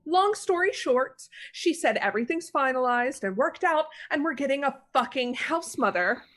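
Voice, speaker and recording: A woman acts a brash college junior from a sorority in a dramatic reading. She is unruly and casually sweary, in a somewhat high voice. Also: animated, moderate pace, fairly bright tone. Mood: exasperated. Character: brash, uncontrollable, foul-mouthed college junior sorority sister.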